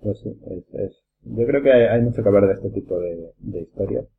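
A man's voice: lowish voice, a little fast, creaky. A man with a Castilian Spanish accent explaining his opinions in a casual group discussion. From Spain. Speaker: podcast host. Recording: muffled audio with faint hum and noise.

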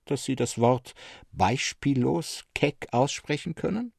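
Husky, serenely insistent mad hermit, voiced by a man. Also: mid-range voice, no room sound, clean studio sound. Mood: insistent challenge. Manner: calm, eloquent, insistent, with rhetorical questions. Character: serene, mad male hermit believing himself a martyred monk. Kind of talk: dramatic literary audiobook reading.

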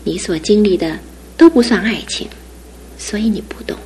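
An actress playing a devoted young fiancée in a studio radio drama, speaking earnestly and with soft insistence. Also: female voice, medium pitch, normal speed, breathy edge. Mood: gently firm. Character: devoted young fiancée, gentle but resolute.

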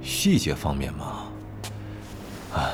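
Careful tech developer, deep voice with lots of swing, hesitant, faint music. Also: male voice, huge pitch swings, slow, heavily acted. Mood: cautious. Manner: hesitant, explanatory. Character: cautious, hedging male equipment developer. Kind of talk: Mandarin audio drama acting.

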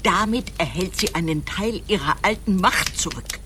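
Woman playing solemn protective goddess, lowish expressive voice, radio play, noisy background. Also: moderate pace, close to an everyday voice. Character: grave, protective goddess of the hearth fire. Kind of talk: radio drama acting.

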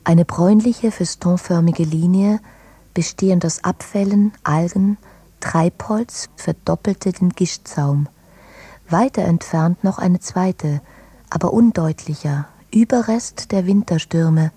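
A woman with a husky, mellow voice recites literary prose for radio. She phrases it in a measured way, in a close, dry sound on the lower side. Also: dry recording. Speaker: reader in a radio literary production.

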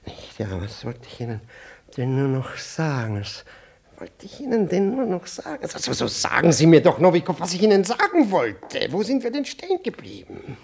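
An actor playing a pompous, scatterbrained, middle-aged senior ministry official in a radio play, stammering and losing his thread, with a husky, breathy voice. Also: a little high, wildly swooping pitch, moderate pace, darkish tone, full character voice. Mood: flustered, forgetful. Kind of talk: 1960s German radio play acting.

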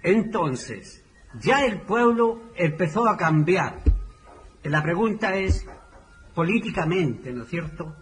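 Hombre chileno de voz aguda, pausado. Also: male voice, sits high, lively intonation, a bit bright, a little hiss or hum. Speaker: participant giving testimony. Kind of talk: spoken recollection answering questions.

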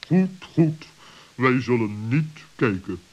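A stern old-radio owl, grave and suspicious yet caring. He speaks deliberately at a medium pitch, and the recording is dull and dated. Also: very animated, a little mellow. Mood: amazed. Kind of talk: 1960s children's radio play acting.

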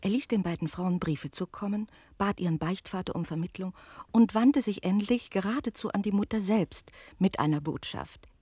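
An actress in a German radio play voicing a lively innkeeper woman who tells a tale with articulate, expressive delivery. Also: medium pitch, normal speed, slightly rough, dry recording, studio quality, lightly acted. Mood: knowing irony.

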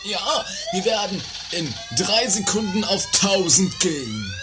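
A woman's voice: medium pitch, expressive, slow, background noise. A woman playing a muddled, forgetful amateur rocket builder in a comedy radio play, pedantic and theatrical. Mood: pedantic, explaining. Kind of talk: amateur trash radio play, comic drama. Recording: loud music under voices, 1980s radio play.

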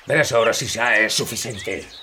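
A man's voice: mid-range voice, moderate pace, very noisy, natural voice. A man playing a brutal, contemptuous Portuguese peasant husband of about forty in a radio play, blustering and insulting with a Galician-Portuguese accent.

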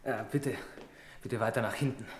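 A man's voice: mid-range voice, fairly even intonation, normal speed, lightly acted. A man acting in a German radio play as a friendly, talkative young bank employee who eagerly explains things. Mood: explaining. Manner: chatty, eager explainer, chuckling easily. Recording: echoey room.

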